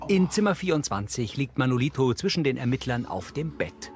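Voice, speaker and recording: A man's voice: mid-range voice, sing-song, brisk. Sober man narrating audio description over music. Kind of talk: scripted audio description narration, present tense.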